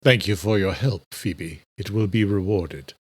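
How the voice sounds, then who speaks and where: A man as soft, grave vampire king. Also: low-pitched, measured pace, breathy edge, dry recording. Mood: courteous.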